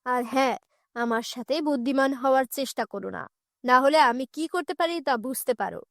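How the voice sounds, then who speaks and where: Woman voicing a threatening high-pitched kidnapper. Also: moderate pace, creaky, no room sound, studio quality. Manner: threatening, commanding. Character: menacing male kidnapper, bullying and greedy.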